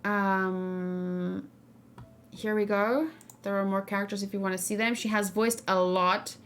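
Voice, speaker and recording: A woman's voice: mid-range voice, little pitch movement, a little slow, a bit bright, a little hiss or hum. A woman streamer talking casually about a game, musing informally and thinking aloud as she goes. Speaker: streamer and reaction host. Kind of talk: casual livestream game discussion.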